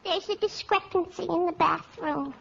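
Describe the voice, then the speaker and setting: A woman's voice: extremely high pitch, moderate pace, vocal fry. A woman playing a fussy, complaining, literal-minded maid who rambles in 1940s radio comedy style. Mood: fussy, puzzled. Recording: very noisy, dull old radio recording.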